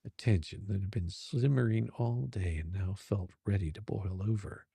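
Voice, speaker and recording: A man's voice: on the low side, normal speed, vocal fry, dark tone, breathy edge, dry recording, studio quality. A man narrating a romance story in a dramatic, suspenseful, sultry style.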